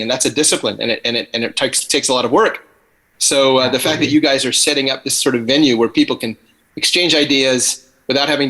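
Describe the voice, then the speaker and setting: A man's voice: medium pitch, a bit bright, poor audio. A man raised in the Philippines, a podcast guest talking thoughtfully and at length over a video call, often restarting his sentences. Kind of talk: casual conversation on a video call. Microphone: computer on a video call.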